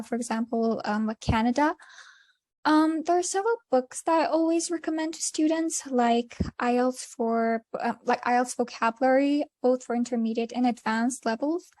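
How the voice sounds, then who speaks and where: A young woman from Iran with an Azerbaijani accent, an English teacher giving a short talk and answering questions, pausing often with fillers. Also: sits high, darkish tone, dry recording. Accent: Iranian Azerbaijani. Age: young adult. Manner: hesitant, many fillers. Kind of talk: presentation then Q&A.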